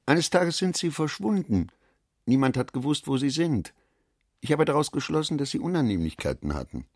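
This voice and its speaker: A man's voice: on the high side, very animated, normal speed, dry recording, clean studio sound. An actor playing a garrulous, self-satisfied middle-aged businessman in a radio drama, chatty and given to philosophizing. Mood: probing, complaining.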